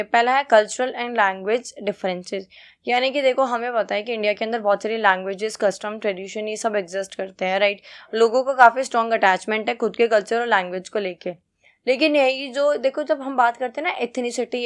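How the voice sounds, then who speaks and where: A woman tutor with an Indian accent and a fairly high voice walks students through a lesson. She reads out key points, then explains them in a conversational way, checking in often and posing rhetorical questions. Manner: mixes Hindi and English. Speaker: teacher, exam-prep tutor.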